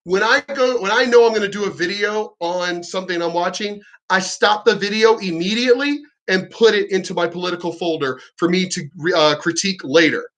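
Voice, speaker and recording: A man's voice: sits high, fairly bright tone. A man giving a combative, profanity-laced rant as a reaction commentator, mocking what he reacts to.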